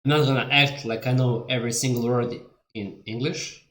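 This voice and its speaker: A man's voice: mid-range voice, steady tone, brisk, a bit bright. A man from Montenegro with a Montenegrin accent and Serbian as his native language, giving casual reaction commentary in a rambling, candid way. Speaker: reaction video host. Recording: echoey room.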